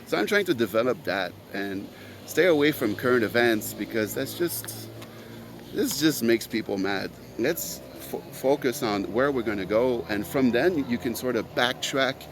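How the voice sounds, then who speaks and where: A man's voice: medium pitch, expressive, moderate pace, noisy background. A man whose first language is French, hosting his own podcast in an earnest, rambling solo monologue full of fillers. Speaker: podcast host.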